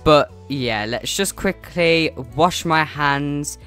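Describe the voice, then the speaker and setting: A woman's voice: low voice, slow pace, a bit bright, soft background music. A woman playing a chatty, slightly awkward father who rambles through a family roleplay game. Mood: casual.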